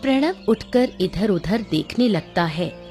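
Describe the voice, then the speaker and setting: A woman delivers scripted storytelling as the narrator of a cartoon tale. Her voice sits at a medium pitch over faint music. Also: lively intonation, slow pace, darkish tone. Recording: music under the voice.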